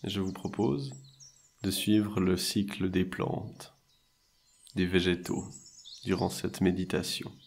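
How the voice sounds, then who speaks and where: A man guiding a meditation in a soothing, measured way, leaving long pauses between short phrases. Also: deep voice, little pitch movement, vocal fry, a little hiss or hum. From French-speaking Switzerland. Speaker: meditation and hypnosis guide. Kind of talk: guided meditation narration.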